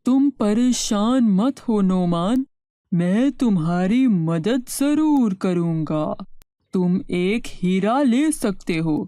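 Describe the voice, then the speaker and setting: A woman's voice: mid-range voice, expressive, slow, dark tone, no echo, studio quality. A woman voicing a kindly magical talking tree in a cartoon story. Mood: kind, reassuring. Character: kindly magical talking tree, helpful, pleading when threatened.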